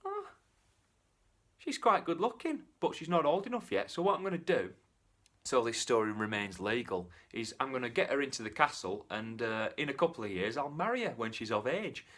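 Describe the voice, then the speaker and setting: A man's voice: medium pitch, sing-song, normal speed, a bit bright. Blustering, short-fused king, played by a male storyteller, near his own voice. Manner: blustering, threatening. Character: hot-tempered, blustering king.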